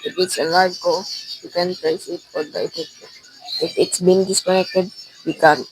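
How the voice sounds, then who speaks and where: Teen girl playing a by-the-book lady phone operator in a student radio play, bit husky, measured and polite. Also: on the low side, flat delivery, dry recording, soft background music, low-quality recording. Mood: formal. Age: teenager. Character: formal, unhelpful female telephone chief operator. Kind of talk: amateur student radio drama acting.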